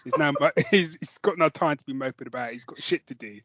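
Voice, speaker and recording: A man's voice: on the high side, quick pace, muffled recording, crowd noise. A British man co-hosting a podcast, chatting about comics in an informal, sweary and enthusiastic way. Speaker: podcast co-host. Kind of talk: casual chat about comics.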